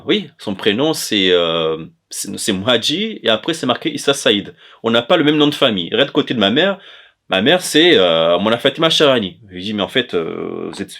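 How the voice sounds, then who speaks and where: A man's voice: lowish voice, fairly bright tone. A man from the Comoros, giving an informal explanatory talk in a hesitant way, often stopping to correct himself. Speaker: presenter of an educational chronicle on language.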